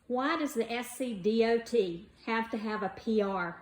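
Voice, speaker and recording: A woman's voice: a little high, normal speed. A woman running a public meeting, putting direct questions to officials. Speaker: meeting organizer. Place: meeting room. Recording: echoey room, faint noise.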